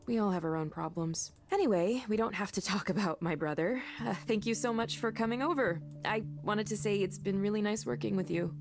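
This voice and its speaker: An actress playing a candid, kindly co-worker in a visual novel, with a frank, conversational delivery. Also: female voice, medium pitch, fairly quick, few pauses, faint music behind, lightly acted. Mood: friendly curiosity. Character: candid, weary but kindly woman, friendly co-worker.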